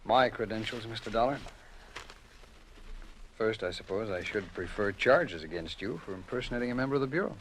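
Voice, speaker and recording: A man acting a measured, courteous American federal agent in a 1950s radio drama, speaking formally with deliberate, discreet pauses. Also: lowish voice, lively intonation, fairly bright tone, a little hiss or hum, rough recording. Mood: measured, dry. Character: measured, courteous male federal agent explaining a secret operation.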